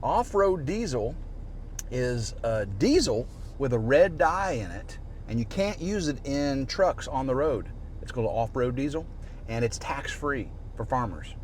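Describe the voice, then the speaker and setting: A man's voice: mid-range voice, very animated, unhurried. A man with a mild American Southern accent, chatting casually in a folksy, joking, rambling way with repeated words and fillers. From southeast Missouri, United States. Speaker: vlog host. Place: inside a moving vehicle. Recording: loud car and road noise.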